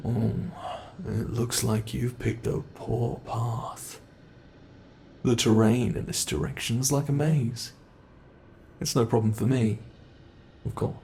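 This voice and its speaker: A British man voices a predatory monster from under the bed, drawling each taunt with deliberate slowness. His voice is rough with fry, and it sinks to whispers as he toys with his prey. Faint noise hums beneath the recording. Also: medium pitch, darkish tone. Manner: slow, taunting and playful, dropping to whispers. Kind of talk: ASMR horror roleplay.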